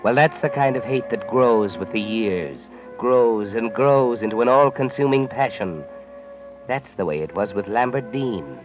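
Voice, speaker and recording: An American man playing a sinister, all-knowing narrator of dark tales in a 1940s radio mystery, deliberate and taunting as he addresses the listener. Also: medium pitch, close to an everyday voice. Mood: ominous. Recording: dull vintage radio recording, music behind.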